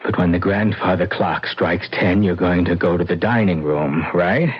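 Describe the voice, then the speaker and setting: An actor in an old-time radio drama playing a sinister, controlling man who gives coaxing, deliberate instructions, on a vintage recording. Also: low-pitched, husky, dull audio. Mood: menacing. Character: sinister, controlling man plotting murder, coldly insistent.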